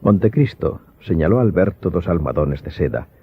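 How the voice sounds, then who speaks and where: A man's voice: deep voice, expressive, a little fast, breathy edge. A man narrating an audiobook drama from a script in a formal, literary manner. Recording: thin muffled phone-like sound, faint music.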